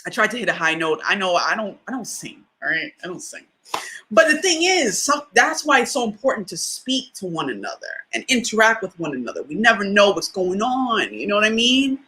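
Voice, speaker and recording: A woman with a deep voice delivers a motivational talk in a casual, conversational way. Her delivery is bright and forceful, and her pitch swings widely as she leans on key words. She often repeats a phrase for emphasis and checks in with her listeners. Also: big pitch swings, brisk. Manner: conversational, often asks "you know what I mean?". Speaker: motivational speaker.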